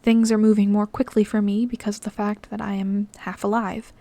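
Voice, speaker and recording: A woman voicing an earnest young half-ghost man who explains himself slowly and gently in a storybook reading. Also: medium pitch, level tone, no room sound, lightly acted. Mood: earnest, explanatory.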